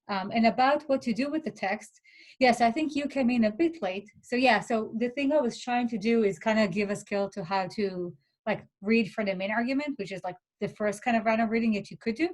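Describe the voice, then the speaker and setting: A woman's voice: on the high side, brisk. A woman whose first language is not English, a graduate instructor giving reassuring, chatty advice over a video call, with lots of "like" fillers. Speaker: graduate student instructor leading a workshop. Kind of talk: casual advice in an online workshop.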